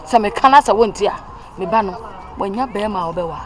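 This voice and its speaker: A woman's voice: medium pitch, fairly quick, background noise, natural voice. An actress playing a mother who lectures her child at length, with emphatic repeats. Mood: stern lecturing. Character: stern older mother lecturing, then pleading with her child. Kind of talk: Twi-language family drama acting.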